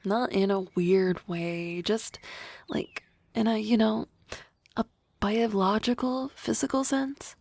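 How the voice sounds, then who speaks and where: A woman voicing an energetic young female harpy classmate in an ASMR roleplay, talking softly and often in a whisper with a teasing manner. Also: medium pitch, takes it slowly, vocal fry, no room sound. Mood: playful.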